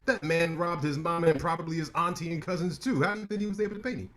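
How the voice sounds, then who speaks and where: An actor in a staged play reading, playing a sharp-tongued male lawyer who trades quick, teasing comebacks and turns grave and reflective. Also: on the high side, fairly even intonation, natural voice. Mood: needling, wry.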